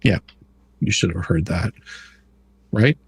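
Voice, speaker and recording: A man with a husky, raspy voice hosting a live stream in a casual, folksy way, often repeating himself as he explains. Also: low voice, slow, dry recording, soft background music. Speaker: live stream host running the show.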